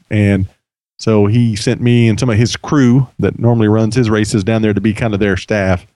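A man with a low, slightly hoarse voice hosts a casual podcast, rambling along with plenty of restarts. He speaks close to the microphone in a dry, dead-sounding room. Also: darkish tone, dry recording. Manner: chatty, rambling, many restarts. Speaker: podcast host.

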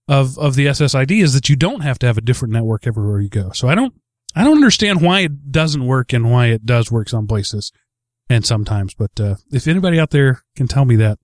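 A man in relaxed conversation as host of a talk stream. His delivery is halting and rambling, doubling back on words, in a voice of ordinary middle pitch. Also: dry recording, clean studio sound. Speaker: host of a tech chat show. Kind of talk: casual rambling chat.

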